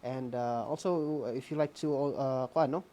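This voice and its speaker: Female educational radio host, low register, Visayan Filipino accent, semi-scripted with casual asides and tag questions. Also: fairly even intonation, moderate pace, dark tone. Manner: code-switching, frequent "no?" tags. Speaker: host of a radio art class. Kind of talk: informal scripted lesson hosting with ad-libs.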